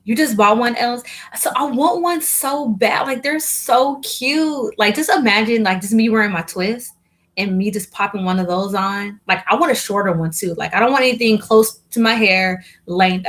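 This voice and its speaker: A woman's voice: medium pitch, normal speed. A young woman chatting casually to viewers on a live stream, rambling with lots of fillers and restarts. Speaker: hair vlogger hosting a live Q&A.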